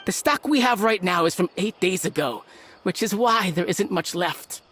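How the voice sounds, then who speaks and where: Shady game dealer, surly and curt, voice jumps around a lot, slight noise. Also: male voice, sits high, expressive, moderate pace, fairly bright tone. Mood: rattled, frank. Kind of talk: video game voice acting.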